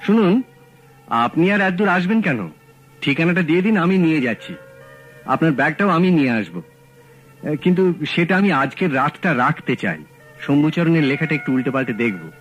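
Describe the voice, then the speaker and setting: An actor playing a calm, shrewd male detective in a radio drama, talking in a measured, dry, matter-of-fact way. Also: on the high side, lo-fi sound, soft background music, close to an everyday voice. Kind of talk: 1970s radio drama acting.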